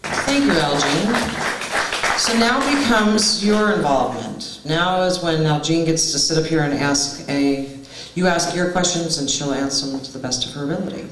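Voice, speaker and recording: A man hosting a live event, introducing guests in a measured, announcing way. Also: on the high side, expressive. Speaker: event host. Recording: echoey hall with loud crowd and noise. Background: audience chatter.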